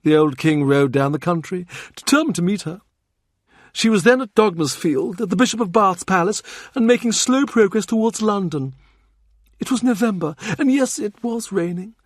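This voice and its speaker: A man's voice: high-pitched, expressive, moderate pace, no room sound, clean studio sound. A man voicing an urbane, shrewd older cardinal who reminisces in a genial, confiding way. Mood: reminiscing. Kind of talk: audiobook character reading.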